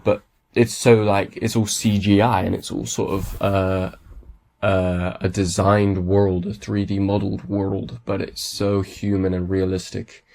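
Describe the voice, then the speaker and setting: A man hosting a solo podcast, raspy from a cold, talking enthusiastically and rambling with lots of restarts. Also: lowish voice, darkish tone. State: has a cold. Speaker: podcast host. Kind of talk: casual solo podcast talk.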